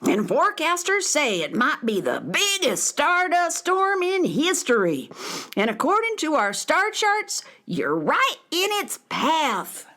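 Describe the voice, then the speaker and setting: A woman's voice: high-pitched, huge pitch swings, takes it slowly, sharp tone, full character voice. A woman playing a fussy, boastful, hard-of-hearing elderly alien in a children's space radio play. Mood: urgent warning. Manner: boastful, mishears what is said.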